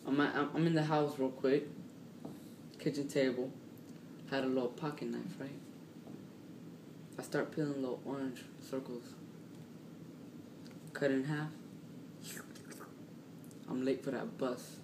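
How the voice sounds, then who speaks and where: A man telling a casual, animated story in slang, repeating himself and acting out what people said. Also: mid-range voice, a little slow, long pauses. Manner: slangy, repetitive, acts out quotes. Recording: roomy, distant mic, clearly noisy.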